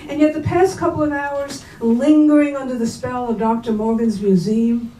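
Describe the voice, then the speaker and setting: A woman reading literary prose aloud at a bookstore event, with measured, deliberate phrasing. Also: sits high, steady tone, mellow. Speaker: reader at a staged literary reading. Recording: roomy, distant mic, faint noise.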